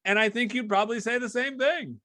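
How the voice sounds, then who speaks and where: A man's voice: very high-pitched, fairly quick, sharp tone, dry recording, studio quality. A man hosting a conversational interview podcast, explaining and giving advice. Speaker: podcast host, former broadcaster and athlete branding consultant.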